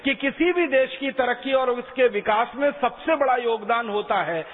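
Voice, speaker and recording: A man's voice: very high voice, lively intonation, moderate pace. A man giving an emphatic public lecture, driving his points home with repeated phrases. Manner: emphatic, rhetorical repetition. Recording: echoey hall on a tinny lo-fi line.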